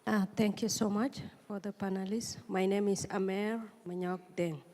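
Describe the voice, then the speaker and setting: A woman from South Sudan, an audience member, asks a panel an earnest, pleading question with pauses and fillers. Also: medium pitch, fairly even intonation, warm tone. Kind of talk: spontaneous public question at a forum. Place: large conference hall. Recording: long reverb of a conference hall.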